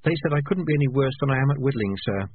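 A man's voice: medium pitch, expressive, fast, husky, no room sound, voice pushed up. A man voicing a nervous young female rat recruit who hesitates and stammers, heard through lo-fi phone-like audio. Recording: lo-fi phone-like sound.

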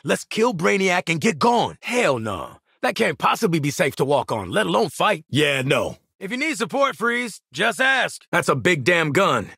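An actor playing a cocky, sardonic American mercenary marksman in a video game, with a gruff, rough voice and brash, clipped delivery. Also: high voice, sing-song, normal speed, few pauses, bright, forceful tone, no echo, studio quality, exaggerated voice. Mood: cocky, dry. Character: cocky, gruff male mercenary marksman, sarcastic squad soldier. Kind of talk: video game combat voice lines.

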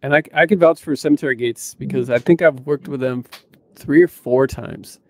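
A man's voice: medium pitch, normal speed, vocal fry. A man chatting casually and informally as a podcast co-host, close to a mic in a small room. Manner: informal, conversational. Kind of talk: casual chat. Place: small room at home, indoors. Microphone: close studio mic on boom arm.

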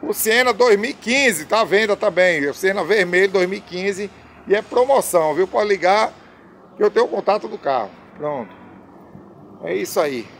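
A man, pitching used cars in chatty, repetitive salesman patter, filmed on a phone at an outdoor car lot. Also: expressive, moderate pace, a bit bright, slight background noise. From Brazil. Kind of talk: casual sales pitch on camera. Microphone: phone camera at arm's length.

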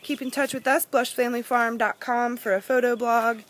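A woman's voice: fairly high pitch, steady tone, normal speed, fairly bright tone. A young woman giving a chatty, upbeat walking tour of her backyard garden, recorded on a handheld phone outdoors. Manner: upbeat, chatty, pointing things out. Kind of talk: casual walking tour narration. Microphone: phone camera held in hand. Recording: outdoor phone audio with background noise, hand over mic at times.

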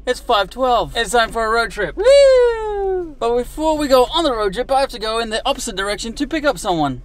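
Upbeat high-voiced man vlogging. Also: very animated, a little fast. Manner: upbeat, cheering, chatty. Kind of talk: casual road trip vlog. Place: inside a car and outdoors in fields. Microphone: handheld action camera. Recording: noisy car and road background.

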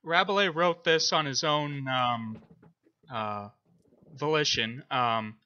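Bright, sharp-voiced man, halting talker. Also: medium pitch, clear, resonant tone, lo-fi sound. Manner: hesitant, halting delivery with very frequent ums and uhs. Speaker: podcast co-host. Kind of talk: informal book discussion.

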